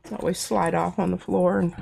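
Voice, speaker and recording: A woman's voice: fairly low pitch, level tone, fairly quick, vocal fry, darkish tone, a little hiss or hum. A woman hosting a casual art demonstration, chatty and self-deprecating as she works, a bit stuffy-nosed. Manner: chatty, self-deprecating, talks while working. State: stuffy nose. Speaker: craft show host. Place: home art studio.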